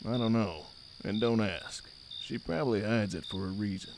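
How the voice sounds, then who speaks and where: A man's voice: on the low side, normal speed, background noise, lightly acted. A man playing an easygoing, slightly clueless dockworker in an audio drama, speaking in a casual, plain way. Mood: casual, matter-of-fact. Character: easygoing male dockworker, loyal but a bit clueless. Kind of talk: scripted audio drama acting.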